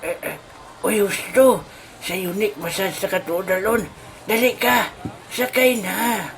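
A woman doing a casual joking skit with shout-outs, full of exclaimed interjections. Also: medium pitch, measured pace, slight background noise.

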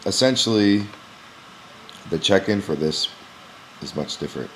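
A young man who runs a gym, walking through a software screen recording in a casual, explanatory way with frequent "um" pauses, recorded on a webcam at his desk. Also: a bass voice, a little hiss or hum, low-quality recording. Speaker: gym owner demonstrating software. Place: small indoor room at a computer. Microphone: webcam in front of the face.

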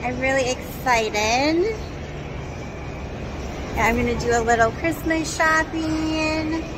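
A woman's voice: high-pitched, takes it slowly, background noise. A middle-aged woman filming a chatty shopping vlog on her phone in a large indoor mall, talking to her viewers. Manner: chatty and excited, addresses viewers. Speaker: vlogger. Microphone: phone at arm's length, selfie. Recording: background music over phone audio. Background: background music.

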